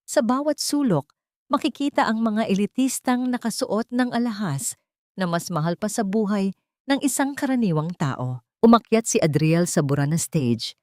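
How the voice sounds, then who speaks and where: A woman's voice: medium pitch, lively intonation, moderate pace, darkish tone, no echo, clean studio sound. A woman with a Filipino accent reading a dramatic scripted story as an audiobook narrator.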